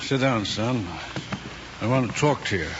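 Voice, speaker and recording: An actor playing an ambitious, anxious middle-aged father in an old screen drama, speaking in a halting, earnest way. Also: medium pitch, lightly acted. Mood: stern, probing. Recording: dull old film soundtrack, faint hiss.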